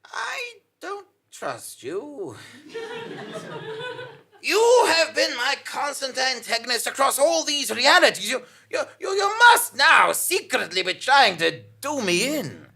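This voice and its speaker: Bombastic, paranoid journalist hero, shouty and creaky. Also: high-pitched, sing-song, slow pace, exaggerated voice. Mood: distrustful, anguished. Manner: melodramatic, declamatory. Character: bombastic, paranoid male investigative journalist swept into false realities. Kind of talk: theatrical live audio comedy drama.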